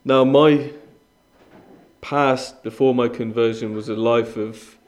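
A man's voice: mid-range voice, fairly even intonation, slow. Earnest young man giving a sermon. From Iran. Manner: earnest, conversational, with frequent fillers and restarts. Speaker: guest speaker. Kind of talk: sermon with personal testimony.

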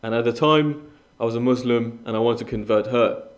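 A man's voice: mid-range voice, a little fast, lo-fi sound. Earnest young man giving a sermon. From Iran. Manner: earnest, conversational, with frequent fillers and restarts. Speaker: guest speaker. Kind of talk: sermon with personal testimony.

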